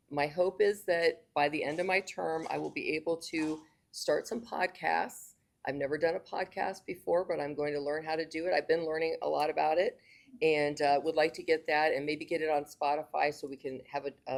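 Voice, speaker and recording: A woman's voice: deep voice, steady tone, normal speed, gravelly. A woman serving as district governor, giving a speech at a club meeting, hesitant with many fillers and restarts, and losing her voice.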